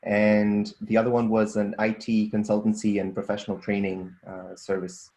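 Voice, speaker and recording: Portuguese guy, fairly low voice, thinks out loud with lots of ums, roomy echo. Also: completely flat delivery, normal speed. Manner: thoughtful, with frequent ums and restarts. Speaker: guest, data scientist and entrepreneur. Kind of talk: casual interview answers.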